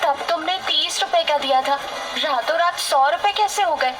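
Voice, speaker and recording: A woman's voice: high-pitched, moderate pace, over music, voice pushed up, lightly acted, rough recording. A woman voicing a cold, hungry female traveler in a comic moral story, plaintive and exclaiming. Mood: shocked, indignant.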